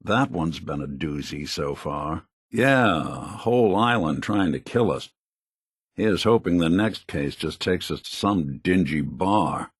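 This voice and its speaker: A man voicing a wry, kindly, world-weary android private detective in a video game, with a gravelly, husky voice and a laconic hardboiled delivery. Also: low-pitched, animated, measured pace, no room sound, clean studio sound, full character voice. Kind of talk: video game character dialogue.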